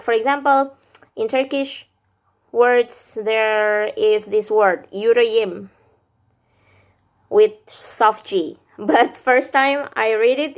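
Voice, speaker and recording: A woman in her thirties with an Indonesian accent, a vlogger musing aloud about learning a language, recorded at arm's length in a small room. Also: on the high side. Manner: slow, thoughtful, musing aloud with hesitations. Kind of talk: casual language-learning vlog. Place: small indoor room with lamps behind. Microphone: camera or phone at arm's length.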